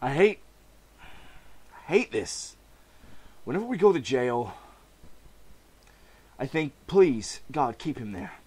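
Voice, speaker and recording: Man acting middle-aged police commissioner, weary doting dad, raised pitch, emphatic, comic-book reading. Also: big pitch swings, slow, pauses often, a little mellow, breathy edge, heavily acted. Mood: exasperated.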